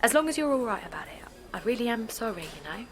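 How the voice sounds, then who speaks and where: A woman's voice: a little high, sing-song, fast talker, a bit bright, some chatter behind. An actress playing a chatty, apologetic woman talking to a friend in a naturalistic audio drama. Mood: apologetic, tentative.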